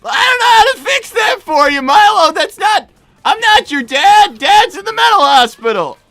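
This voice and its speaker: Excitable little fox brother, high-pitched and swooping, flustered and argumentative, for a cartoon-style gaming livestream. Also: normal speed, no echo, faint music behind, exaggerated voice. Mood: flustered, worried. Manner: stammering, exasperated, argumentative. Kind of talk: improvised in-character gaming livestream.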